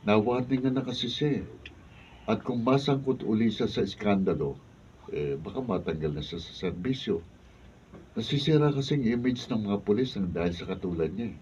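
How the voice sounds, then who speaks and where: Sensible Filipino police buddy played by a male actor for radio drama; lowish voice, off-mic. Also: normal speed, natural voice. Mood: calm, candid. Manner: calm, candid. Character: level-headed policeman, concerned friend of a hothead. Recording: muffled, distant, noisy studio capture.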